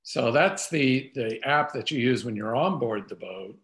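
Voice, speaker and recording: A man's voice: medium pitch, expressive, measured pace, a bit bright. An older man giving an informal, explanatory webinar product demonstration over a video call. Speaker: presenter.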